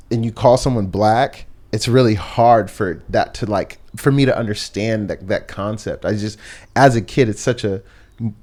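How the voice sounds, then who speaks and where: A man's voice: lowish voice, darkish tone. A young man from the United States, a podcast guest talking through his thoughts in a reflective, rambling way, full of fillers and restarts. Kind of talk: casual conversation.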